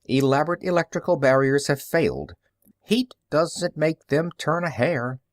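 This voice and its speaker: A man's voice: a little high, normal speed, dry recording, studio quality, voice pushed up, lightly acted. A man voicing a young male newspaperman in an audiobook, earnest, skeptical and matter-of-fact, explaining with emphasis. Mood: earnest, grave.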